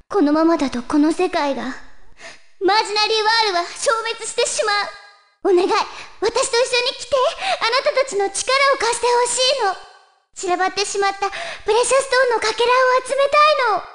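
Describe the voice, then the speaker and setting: An actress playing a gentle, earnest young fairy guide of a dream world in a video game, with a wistful, pleading manner. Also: female voice, very high voice, dry recording, studio quality. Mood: worried, then hopeful pleading. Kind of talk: Japanese video game story acting.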